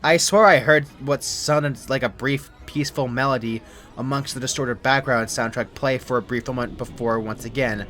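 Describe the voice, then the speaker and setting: A young man narrates a scripted horror story. His voice is medium in pitch, and he speaks in a serious, measured storytelling manner. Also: a bit bright, soft background music.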